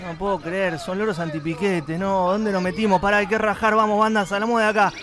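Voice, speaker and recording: A man's voice: sits high, steady tone, brisk, close to an everyday voice. A man with a Rioplatense Argentine accent playing a flustered, complaining radio host in a comic radio play, exclamatory and rambling. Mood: panicky. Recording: music behind.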